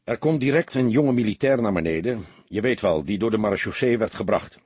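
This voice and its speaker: A man as a calm, low-voiced veteran detective. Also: brisk, husky, no echo. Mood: businesslike. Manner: calm, matter-of-fact. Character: seasoned grey-haired male police detective, calm, sober, unhurried.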